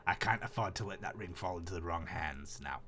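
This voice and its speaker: A man's voice: low-pitched, a little fast, over music, pitched below the performer's own voice. A man voicing a menacing, scheming alien stalker in an anime visual novel, with theatrical delivery.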